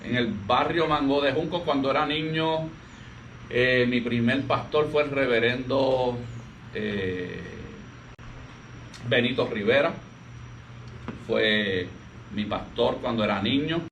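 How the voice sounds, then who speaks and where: Puerto Rican male pastor, 40s, gentle hesitant delivery, distant mic, light background noise. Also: medium pitch. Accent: Puerto Rican Spanish. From Puerto Rico. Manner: soft-spoken, halting, frequent restarts. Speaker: pastor, interview guest. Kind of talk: relaxed conversational interview.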